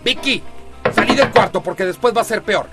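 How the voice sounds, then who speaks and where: An actor with a Costa Rican accent playing a jealous, menacing husband in a radio soap opera, aggressive and commanding. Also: male voice, sits high, a little fast, over loud music, lightly acted. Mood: furious, threatening.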